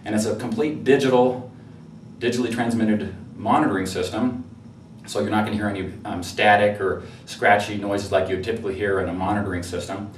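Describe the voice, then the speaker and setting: Low-voiced man in a roomy, distant recording. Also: steady tone, fairly quick. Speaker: product presenter. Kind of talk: informal product demonstration. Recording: roomy, distant mic with faint noise.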